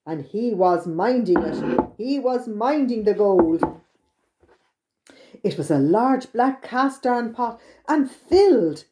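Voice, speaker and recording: A woman's voice: a little high, lively intonation, takes it slowly, mellow. A woman with an Irish accent narrating a children's storytime expressively, exclaiming and repeating things for young listeners.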